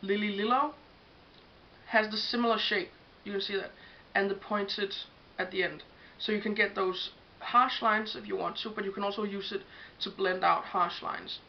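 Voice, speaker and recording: A woman's voice: medium pitch, steady tone, moderate pace, creaky. A young woman from Denmark with a Danish accent, talking through makeup brushes in a casual, hesitant way with many ums, English not her first language. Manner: chatty, hesitant, many ums while showing products. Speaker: makeup vlogger. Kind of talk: casual beauty tutorial. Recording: dull lo-fi home recording with faint hiss.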